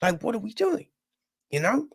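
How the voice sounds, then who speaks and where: A man's voice: high voice, big pitch swings, normal speed, vocal fry, breathy edge. A man giving a casual podcast film review, rambling and opinionated, with constant tag questions. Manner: rambling, frequent tag questions like "right?" and "you know"; opinionated and irritated.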